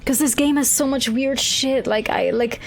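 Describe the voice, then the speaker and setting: A woman's voice: high-pitched, steady tone, moderate pace, vocal fry, faint music behind. Swearing woman streamer. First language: Dutch. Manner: rambling, swearing, exasperated. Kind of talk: casual gameplay chat.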